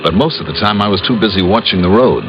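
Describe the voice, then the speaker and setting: An American actor playing a cool, observant insurance investigator in a classic radio crime drama, with a clipped, probing way of talking. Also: male voice, lowish voice, expressive, quick pace, close to an everyday voice. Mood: tense narrating. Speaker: lead character and narrator of radio detective series. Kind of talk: classic American radio drama acting and first-person narration. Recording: noisy, muffled old radio recording.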